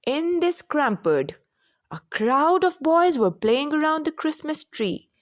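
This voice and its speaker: Slow, lively woman reading a children's story. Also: sits high, dry recording, studio quality. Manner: expressive, deliberate, simple storybook delivery. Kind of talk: expressive read-aloud narration for children.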